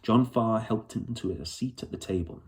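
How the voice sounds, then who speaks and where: A man with a fairly low voice reads a children's novel aloud. He narrates the scene as a storyteller would in an audiobook. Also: moderate pace. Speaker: audiobook reader.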